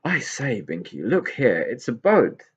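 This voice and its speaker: A man voicing an indignant but easily delighted young male pixie in a children's storybook, creaky and emphatic. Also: mid-range voice, huge pitch swings, a little slow, dull audio, heavily acted. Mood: cross, indignant. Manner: exclamatory, emphatic.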